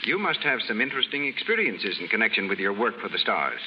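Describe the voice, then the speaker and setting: A man's voice: fairly low pitch, very animated, quick pace. A man, announcing and interviewing in a formal, scripted 1930s advertising style. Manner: formal, polished salesmanship. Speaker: radio announcer. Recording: muffled 1930s radio broadcast, faint hiss.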